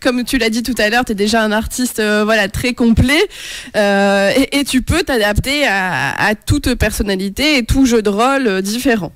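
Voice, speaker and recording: A woman's voice: a little high, normal speed, a bit bright, no room sound. A woman hosting a radio interview, asking chatty, encouraging questions. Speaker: radio show host.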